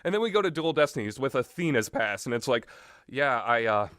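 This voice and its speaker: A man's voice: mid-range voice, very animated, a little fast, fairly bright tone, clean tone, no echo, studio quality. A man giving casual, rambling opinions as a video game commentator, blunt and casually profane.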